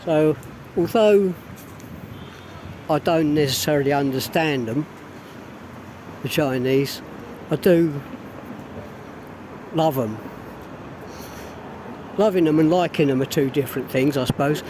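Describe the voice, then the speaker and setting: Slow-talking hoarse man on a street. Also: a little high. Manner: rambling, unhurried, pauses and restarts. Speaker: vlogger. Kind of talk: casual walking vlog. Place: outdoors on a city street. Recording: street traffic noise behind. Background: traffic noise.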